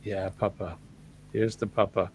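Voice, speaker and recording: A man co-hosting a casual chat, easygoing and hesitant with many pauses. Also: on the low side, flat delivery, a little mellow, breathy edge. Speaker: co-host.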